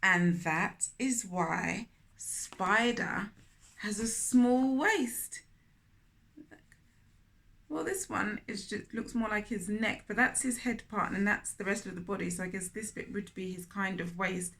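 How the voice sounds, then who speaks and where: A woman reading a children's story aloud in an expressive manner, often turning to question young listeners directly. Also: mid-range voice, takes it slowly, vocal fry. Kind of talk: animated children's read-aloud with asides to listeners.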